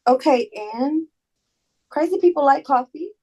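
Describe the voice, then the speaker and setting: A woman's voice: sits high, measured pace, mellow, rough recording. A woman in a table read playing a blunt, emphatic, protective female colleague in a drama. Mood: sassy, exasperated. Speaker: actor in a script table read. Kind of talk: dramatic script reading.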